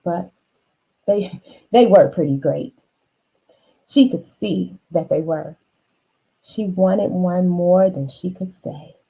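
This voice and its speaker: Slow-paced woman reading a storybook aloud. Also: fairly low pitch, gravelly, warm tone, breathy edge, low-quality recording. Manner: unhurried, dramatic emphasis, chatty asides. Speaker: children's storybook reader. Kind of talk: expressive read-aloud with asides to the listener.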